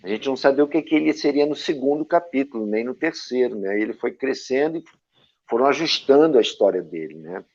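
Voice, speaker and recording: A man from Brazil, an actor and director, telling stories from his career as an interview guest, with a lively anecdotal manner and frequent tag questions. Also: mid-range voice, a little fast. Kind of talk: storytelling interview answers. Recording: dull lo-fi audio.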